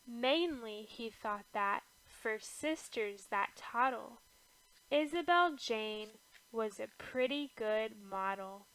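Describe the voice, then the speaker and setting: A woman with a bright, fairly high voice reads a children's storybook aloud. She takes her time, shaping the rhyming verse with a measured rhythm and expressive phrasing. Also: rough recording. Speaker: storybook reader. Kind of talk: scripted read-aloud of a rhyming children's picture book.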